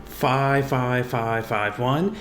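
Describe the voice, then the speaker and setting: A man with a cold giving unscripted gaming news commentary as a video host, rambling and halting, often correcting himself. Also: mid-range voice, roomy sound, slight background noise. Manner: rambling, halting, self-correcting delivery with repeated verbal tags. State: fighting off a cold.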